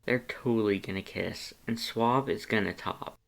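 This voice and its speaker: A man's voice: fairly low pitch, moderate pace, vocal fry. A man voicing a flirty, teasing male skeleton with playful, suggestive casual talk in a story reading. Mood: flirty, amused. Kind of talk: storybook character dialogue.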